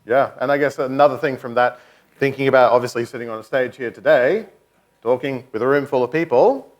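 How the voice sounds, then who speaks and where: A man's voice: medium pitch, fairly quick. A man with a mid-range voice chairs a conference panel from the stage. He talks in a loose, chatty way, cracking light jokes and leading into questions with plenty of casual filler. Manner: chatty, joking, upbeat. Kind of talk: live panel hosting. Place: conference stage before an audience.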